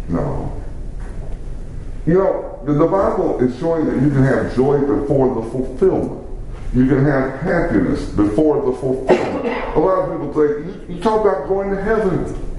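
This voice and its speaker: A middle-aged man preaching a sermon, slow and emphatic, with dramatic pauses. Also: fairly high pitch, dark tone, slight background noise. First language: English. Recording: very echoey room, faint background ambience.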